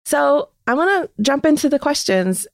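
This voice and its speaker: A woman's voice: high-pitched, very animated, moderate pace, gravelly, no room sound, studio quality. A woman hosting an interview, speaking in an effusive, encouraging way. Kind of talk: podcast interview.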